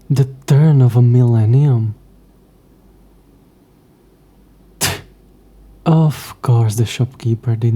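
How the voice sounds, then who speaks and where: Guy doing an old smug demon for an audio roleplay, lowish voice thats super mellow and breathy, coy and taunting, old-timey wording. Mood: puzzled, indignant. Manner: slow, taunting, haughty old-fashioned phrasing. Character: centuries-old possessive male demon trapped in a necklace, coy, smug. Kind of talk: scripted audio roleplay addressed to the listener.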